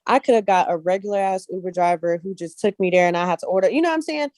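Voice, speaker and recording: A woman speaking casually as an interview guest, telling personal stories in an animated, earnest and colloquial way, often checking in with her listeners. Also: mid-range voice, quick pace, no room sound. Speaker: guest, actress and casting director.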